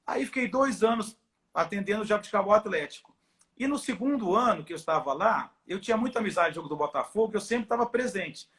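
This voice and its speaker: Middle-aged male doctor as interview guest, inland São Paulo Brazilian accent, high voice, casual and friendly. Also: animated, fast, fairly bright tone. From Ribeirão Preto, São Paulo, Brazil. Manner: friendly, informal. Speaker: guest, football club doctor. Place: at home on a video call.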